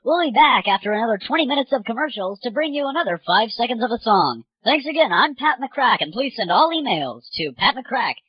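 A man's voice: extremely high pitch, big pitch swings, a little fast, few pauses, breathy edge, no echo, voice pushed up, exaggerated voice. A man voicing a chirpy, smarmy male music-television countdown host with slick, over-peppy presenter patter. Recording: muffled lo-fi audio over music.

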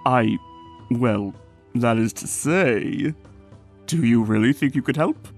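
A man's voice: medium pitch, takes it slowly, faint music behind. A man voicing a huge, haughty but insecure bird in a comic dub, with formal, pompous speech. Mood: sheepish. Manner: pompous, formal, turning hesitant. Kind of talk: comic dub cartoon acting.

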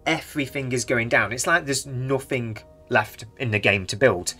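Young british guy rambling about game market stats, bright forward voice, bit of music underneath. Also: medium pitch, normal speed. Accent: British English. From Britain. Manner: rambling, chatty, speculative. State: slightly losing his voice. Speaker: gaming market commentator. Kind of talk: casual commentary. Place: small home room indoors. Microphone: desk microphone near face.

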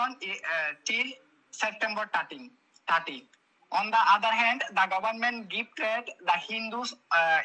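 A woman's voice: mid-range voice, bright, forceful tone, poor audio. A woman from Bangladesh with a Bangladeshi accent, a phone caller speaking hesitant, broken English with many fillers and restarts. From Bangladesh (Chattogram). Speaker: phone caller, local witness. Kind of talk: halting phone interview, partly read from a script.

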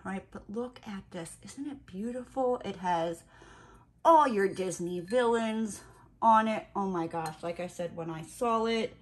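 A woman's voice: medium pitch, unhurried. A woman chatting casually to viewers during an unboxing, playful and sassy, full of exclamations, slang and mild swearing. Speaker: craft and unboxing vlogger. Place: indoors at a glass-topped table. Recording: clear background noise with handling clicks.

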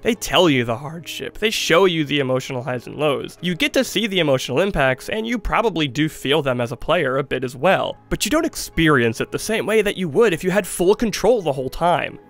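Male video essayist, 20s; lively, higher voice, emphatic key phrases, music underneath. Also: a little fast, few pauses. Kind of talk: conversational scripted video essay commentary on games. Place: small studio with acoustic foam. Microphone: studio microphone.

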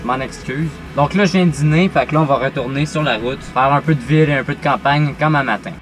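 A man's voice: mid-range voice, fast talker. A young man with a Québécois accent, talking casually in a truck cab through a camera mic. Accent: Québécois French. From Quebec. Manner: relaxed, many fillers. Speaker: truck driving student vlogger. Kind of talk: casual vlog commentary. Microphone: GoPro camera mic. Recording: lo-fi camera audio over music.

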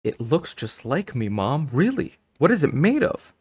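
A man's voice: fairly high pitch, very animated, measured pace, darkish tone, breathy edge, dry recording, studio quality, pitched above the performer's own voice, full character voice. A man voicing a reluctant, self-conscious schoolgirl in a storybook, speaking in a hesitant, awkward way. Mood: startled, uneasy.

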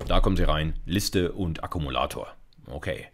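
A man's voice: very deep voice, normal speed, noisy background. A middle-aged man giving an informal programming lecture, explaining step by step and addressing listeners directly in a conversational way. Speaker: university lecturer. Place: indoor recording setup, screencast lecture.

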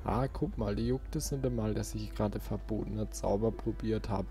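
A man's voice: lowish voice, steady tone, moderate pace. A man giving casual let's play commentary as host of his channel. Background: game music.

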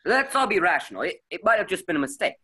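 A man's voice: sits high, sing-song, quick pace, bright, forceful tone, husky, exaggerated voice. A man acting a hesitant, agitated party guest in a mystery radio play. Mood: nervous.

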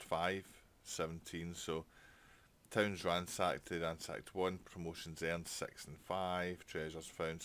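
A man with a low-pitched voice hosts a casual let's play. He chats informally and thinks out loud as he plays. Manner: chatty, thinking aloud while playing. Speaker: gaming channel host.

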